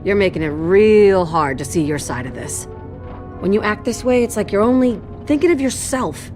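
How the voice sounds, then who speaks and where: A woman playing a loving, firm and worried mother in an animated game, speaking gently but firmly. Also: mid-range voice, normal speed, faint music behind, close to an everyday voice. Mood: stern, exasperated. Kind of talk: animated game drama acting.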